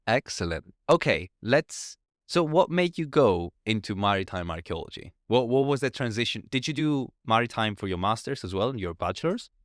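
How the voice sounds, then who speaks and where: A young man hosting a podcast, upbeat and quick with short affirmations as he interviews his guest. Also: on the low side, sing-song, no echo, studio quality. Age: young adult. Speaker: podcast host.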